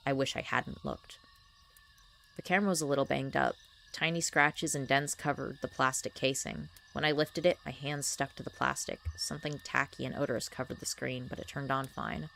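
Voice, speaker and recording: Young woman reading a creepy story, super low voice, kinda scratchy and gravelly. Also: steady tone, moderate pace, fairly bright tone. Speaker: co-host reading a horror story. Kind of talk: slow scripted horror narration.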